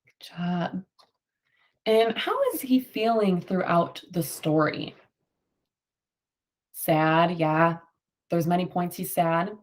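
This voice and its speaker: A woman's voice: fairly low pitch, fairly even intonation, slow pace, long pauses. A woman teacher reading a picture book aloud to children and prompting them with questions, affirming their answers.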